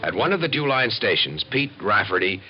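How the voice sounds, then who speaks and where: A man's voice: on the low side, very animated, a little fast. An American man playing an earnest, assured civil defense expert who explains and narrates in a 1950s radio documentary. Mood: grave, dramatic. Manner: explanatory, folksy, emphatic. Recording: dull old radio recording with music.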